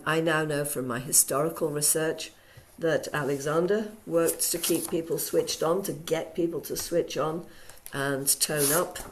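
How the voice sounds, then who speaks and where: Croaky, low-voiced woman teaching online. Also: fairly bright tone. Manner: chatty with frequent ums. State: hoarse from a cold. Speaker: Alexander Technique teacher. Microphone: video call.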